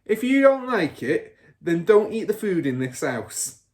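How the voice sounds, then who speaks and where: A man voicing a boastful, bullying car-dealer father in a storybook, loud and scornful. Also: high-pitched, sing-song, measured pace, pitched above the performer's own voice. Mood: angry, blustering.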